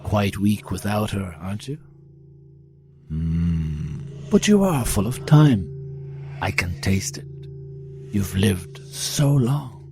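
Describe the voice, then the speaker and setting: An actor playing a sinister, gloating piper in an audio drama, slow and mock-courteous, savouring his words. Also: fairly low pitch, very animated, a little mellow, faint music behind, full character voice. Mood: gloating, hungry. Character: sinister ancient piper, gloating, taunting child-stealing villain.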